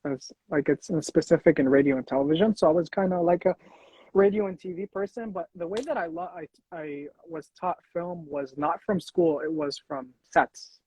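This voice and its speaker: Husky American man, casual interview host. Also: a little high, normal speed, darkish tone, no echo. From United States. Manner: rambling, restarts often. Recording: dull lo-fi sound.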